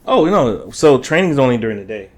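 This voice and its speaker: A man's voice: mid-range voice, big pitch swings, fairly quick. A man co-hosting a podcast, talking in a loose, casual, conversational way. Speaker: podcast co-host. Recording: noticeable room noise.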